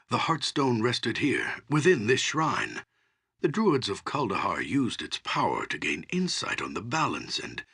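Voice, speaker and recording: Gravelly, solemn old archdruid. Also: male voice, fairly low pitch, pushed and bright, heavily acted. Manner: measured, formal, theatrical delivery. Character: solemn elderly male archdruid, humble, grave wise counsellor. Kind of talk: fantasy game voice acting.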